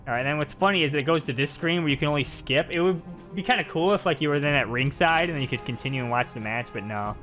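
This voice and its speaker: A man's voice: a little high, fast talker, hardly any pauses, fairly bright tone, faint music behind. A man giving casual, playful live commentary on a video game. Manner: playful, hams up cutscene dialogue.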